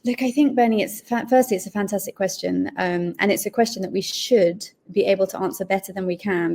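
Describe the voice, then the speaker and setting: A woman guest on a podcast, speaking over a remote call, explaining her ideas clearly with lively emphasis. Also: mid-range voice. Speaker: guest, author. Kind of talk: podcast interview. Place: Malaysia.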